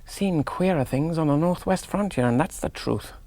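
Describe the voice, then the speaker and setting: An actor playing a rational, jaunty young English army gentleman in a radio drama, musing aloud in a clipped, wry English accent. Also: a little high, normal speed, no room sound, close to an everyday voice. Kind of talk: 1970s radio drama monologue.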